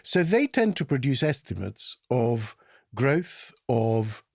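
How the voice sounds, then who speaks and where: Man, average-pitched voice, conversational, explanatory, measured, podcast discussion, no room echo. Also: big pitch swings, vocal fry, studio quality. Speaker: podcast co-host. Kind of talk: conversational explanatory panel talk.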